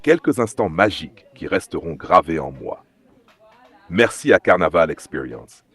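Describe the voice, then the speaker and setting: A man reads the closing voice-over for his travel vlog in a measured sign-off. His voice is very low yet moves widely in pitch. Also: very animated, slight background noise. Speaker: vlog host.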